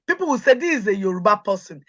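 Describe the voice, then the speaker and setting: A woman's voice: medium pitch, big pitch swings, rapid-fire, muffled recording. A middle-aged Nigerian woman with a Nigerian accent, speaking as an interview guest, emphatic and proud, repeating words for stress. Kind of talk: interview conversation.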